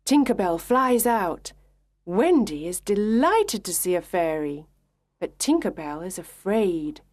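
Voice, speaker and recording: Slow, clear woman storyteller. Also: mid-range voice, sing-song, darkish tone, dry recording. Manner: clear, measured reading. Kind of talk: slow scripted narration for English learners.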